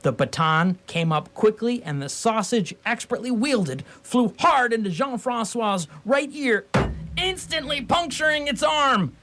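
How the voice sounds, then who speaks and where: Male narrator, high pitch, radio theatre, scripted storytelling, theatrical, deliberate phrasing. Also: animated, pushed and bright, slight background noise.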